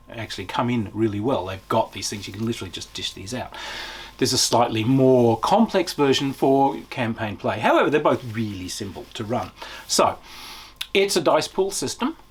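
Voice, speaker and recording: A man's voice: medium pitch, animated, moderate pace, breathy edge. A man giving a casual, unscripted review as a tabletop game reviewer, enthusiastic and emphatic, drawing out key words.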